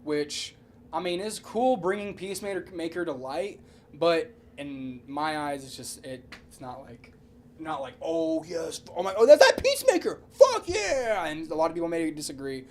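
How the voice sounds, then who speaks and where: A man's voice: fairly high pitch, big pitch swings, normal speed, a little mellow, a little hiss or hum. A man co-hosting a podcast, giving opinions in casual, sweary slang and repeating his points for emphasis. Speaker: podcast co-host.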